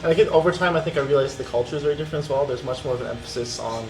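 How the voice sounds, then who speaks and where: A young man from the Netherlands sharing his experience on a student panel, quick and full of fillers. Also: medium pitch, a little mellow, distant mic, soft background music. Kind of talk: casual panel discussion. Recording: echoey room, off-mic.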